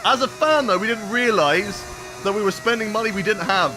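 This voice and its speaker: A man's voice: sits high, a little fast, music in the background. A young man casually chatting with viewers while he plays a racing game on a live stream.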